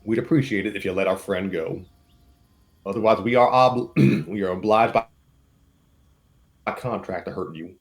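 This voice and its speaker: A man voicing a polite, steady Southern engineer mercenary who gives folksy, courteous warnings in a story reading. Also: lowish voice, normal speed, long pauses, close to an everyday voice.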